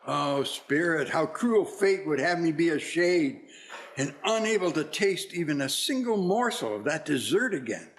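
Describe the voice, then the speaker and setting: A man acting as a bewildered, wistful old miser in a radio play, halting and plaintive. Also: on the high side, very animated.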